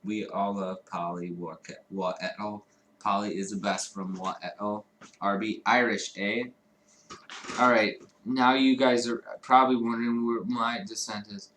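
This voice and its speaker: A man's voice: on the low side, fairly even intonation, a little slow, a bit bright, slight background noise. A man from Chicago co-hosting a livestream, chatting casually in a rambling, profane way and laughing often. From Chicago, United States. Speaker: livestream co-host. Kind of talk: casual chat. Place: home streaming studio.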